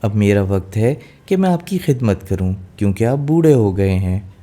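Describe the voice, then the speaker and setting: A man's voice: on the low side, animated, moderate pace, mellow, lightly acted. A man voicing an innocent, dutiful young daughter in a fairy tale, speaking politely and obediently. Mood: meek, loving.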